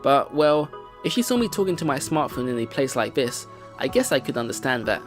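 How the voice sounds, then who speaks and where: A let's player reads story narration from a visual novel. The pitch is medium with lively, swinging intonation. Soft game music plays underneath. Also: brisk. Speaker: let's play host.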